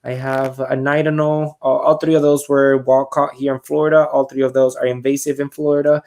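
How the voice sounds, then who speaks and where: A man from Puerto Rico talks casually as a podcast guest. His pitch is average, and his phrasing is loose and informal, feeling its way through each thought. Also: level tone, brisk, darkish tone. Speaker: podcast guest, reptile keeper. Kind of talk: casual chat.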